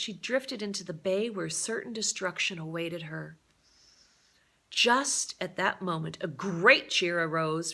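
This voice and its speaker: A woman reading a novel aloud as a storyteller, with a deliberate, dramatic and expressive delivery. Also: mid-range voice, gravelly.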